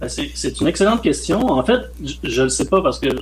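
A man in his late forties with a Québécois French accent, a film director talking in a relaxed, rambling way as a podcast guest. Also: fairly high pitch, fairly quick, slight background noise. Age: late 40s. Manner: relaxed, rambling, frequent "euh" and "mettons". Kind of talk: casual podcast interview.

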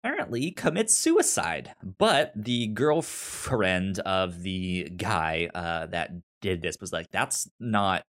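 Male podcast co-host, deep-leaning voice, noticeable stutter on repeated syllables, informal and unhurried. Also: sing-song, a bit bright. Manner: halting, informal delivery. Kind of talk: casual chat recommending and discussing TV shows.

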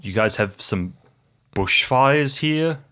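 A man's voice: medium pitch, animated, slow, pushed and bright, pitched above the performer's own voice. A man voicing an awkward, earnest, sceptical male park ranger newcomer in a tabletop game. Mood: puzzled.

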